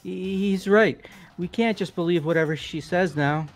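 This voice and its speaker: A man's voice: sits high, a little slow, faint music behind. A man voicing an uneasy, doubting young male student in a visual novel game. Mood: distrustful.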